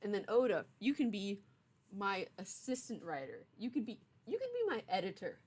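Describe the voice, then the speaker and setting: A woman's voice: mid-range voice, big pitch swings, normal speed, darkish tone, breathy edge. A young adult woman streamer chatting casually with her viewers in a playful, teasing, mock-stern way. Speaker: streamer, game writer. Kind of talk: casual livestream chat.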